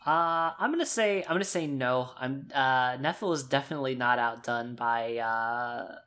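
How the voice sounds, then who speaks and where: A man's voice: medium pitch, moderate pace, bright, forceful tone. A man improvising narration for his character in a tabletop roleplaying game, hesitant and loosely worded as he thinks aloud.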